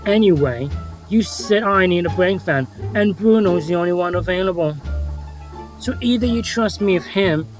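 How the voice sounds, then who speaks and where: A man's voice: sits high, close to an everyday voice. A man playing a grumpy, devious diesel engine in a children's railway story, sarcastic and boastful in manner. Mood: scheming, irritated.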